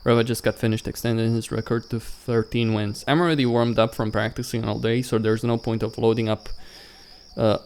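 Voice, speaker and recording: A man's voice: mid-range voice, flat delivery, fairly quick, darkish tone, smooth. A man hosting a let's play, reading a visual novel script aloud and stumbling over some words. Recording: music behind the voice.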